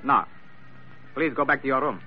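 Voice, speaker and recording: An actor playing a proud, lovesick crime boss with silky formal politeness and menace, in 1940s radio drama style. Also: male voice, medium pitch, moderate pace, soft background music, close to an everyday voice. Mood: cold command. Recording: thin, tinny vintage radio recording.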